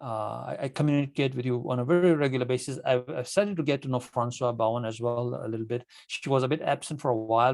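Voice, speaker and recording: A man from Kenya, a native Swahili speaker, addressing club members informally in a halting, repetitive, emphatic manner. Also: medium pitch, vocal fry, a little mellow, no echo. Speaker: club leader addressing members at an online meeting. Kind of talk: informal motivational meeting talk.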